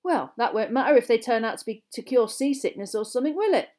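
A woman's voice: fairly high pitch, animated, fast, darkish tone, close to an everyday voice. A woman voicing a down-to-earth, teasing schoolboy in a storybook, casual, bantering and incredulous.

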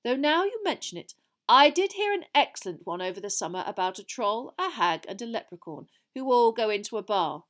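A woman's voice: fairly high pitch, sing-song, a little fast. A woman voicing a genial, ceremonious old male wizard headmaster addressing students in a storybook reading. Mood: amused. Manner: bright, ceremonious, projecting as in a speech.

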